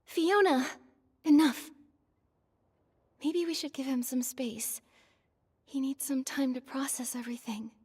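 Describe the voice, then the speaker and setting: An actress playing a calm, compassionate young heroine in a game cutscene, speaking gently and reasonably. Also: female voice, sits high, a little slow, long pauses, long reverb tail. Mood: soothing.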